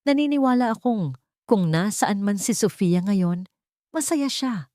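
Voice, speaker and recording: A woman's voice: medium pitch, expressive, a little slow, mellow, no echo, pitched above the performer's own voice, natural voice. Audio drama role: woman reading as a widowed father in love, solemn, tender and sincere, very dry close sound. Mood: earnest, reflective.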